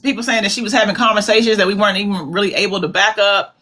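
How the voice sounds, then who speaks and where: Bright-voiced woman hosting live commentary. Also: mid-range voice, fairly even intonation, very fast. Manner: chatty, calls viewers "babies", repeats herself for emphasis. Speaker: commentary livestream host.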